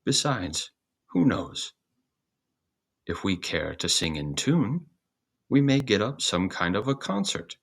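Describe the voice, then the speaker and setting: Hearty storybook donkey, voiced by a man, declamatory, courteous, encouraging, husky, unhurried. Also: on the low side, sing-song, exaggerated voice. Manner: declamatory, old-fashioned courteous phrasing.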